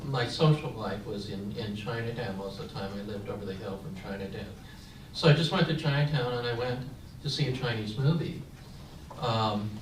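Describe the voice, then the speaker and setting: An older man who grew up in San Francisco, speaking as a veteran on a panel and telling his own story in a reflective way, with frequent fillers and restarts. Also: medium pitch. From San Francisco, United States. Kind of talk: personal storytelling at a panel discussion. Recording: echoey, distant mic with room noise.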